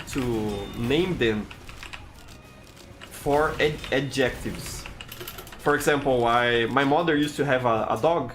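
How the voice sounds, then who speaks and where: A man from Brazil with a Brazilian Portuguese accent, a game streamer chatting casually and reading chat aloud, friendly, with stammered restarts. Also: mid-range voice. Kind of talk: casual live-stream chat while playing.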